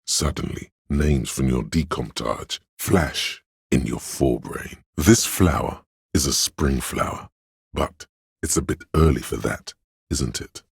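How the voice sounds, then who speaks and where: A man voicing a video game's know-it-all inner voice of encyclopedic trivia, erudite and measured, with a gravelly, husky, very deep delivery. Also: no echo, studio quality, full character voice. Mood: musing, pedantic. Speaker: video game voice actor. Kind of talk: scripted game narration.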